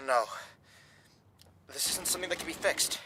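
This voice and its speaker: A man's voice: fairly high pitch, moderate pace, heavy background noise, natural voice. An actor in a radio drama playing a desperate, guilt-ridden young gangland man who is protective of his lover, earnest and intense. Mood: anguished.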